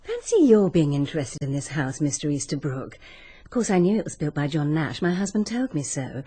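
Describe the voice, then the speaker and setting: Low, husky, gushing lady of the house. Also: big pitch swings, fairly quick, dark tone, heavily acted. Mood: flattered, gushing. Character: gushing, self-deprecating wealthy widowed lady of the house. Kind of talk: radio drama acting.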